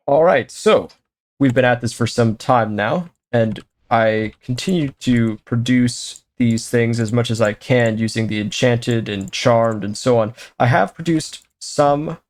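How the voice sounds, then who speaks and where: A man talking his way through a game session in a loose, conversational way, speaking partly to himself and partly to the game. His voice sits in an ordinary middle pitch, and he breaks into quick excited exclamations and wry jokes. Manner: chatty, talks to himself and to the game, jokey asides. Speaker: let's play host. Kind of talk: casual gameplay commentary.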